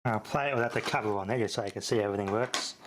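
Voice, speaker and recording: A man's voice: on the low side, very fast, noisy background. A man with an Australian accent giving a casual, unscripted demonstration in a laid-back, rambling, matter-of-fact way. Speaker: host of a repair channel.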